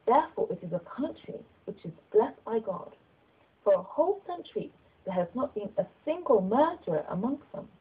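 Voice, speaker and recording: A woman voicing a kindly, humble old bishop in an audiobook, speaking in an earnest, measured way with gentle persuasion. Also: fairly high pitch, very animated, slightly rough, phone-quality sound, exaggerated voice. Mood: earnest, exhorting. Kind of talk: audiobook character dialogue.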